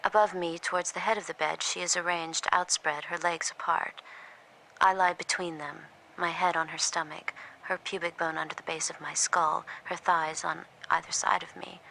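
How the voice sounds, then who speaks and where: A woman's voice: lowish voice, fairly even intonation, normal speed, vocal fry, pushed and bright, slight background noise, poor audio. A woman in an audio drama playing a subdued, observant handmaid, narrating in an intimate, restrained way. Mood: detached.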